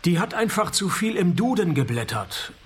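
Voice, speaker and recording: Deadpan, husky private detective. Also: male voice, sits high, normal speed, exaggerated voice. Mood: dry, wry. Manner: deadpan, sardonic. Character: wry, world-weary male private detective narrating his cases. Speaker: detective and narrator. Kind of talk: radio crime comedy, first-person noir narration.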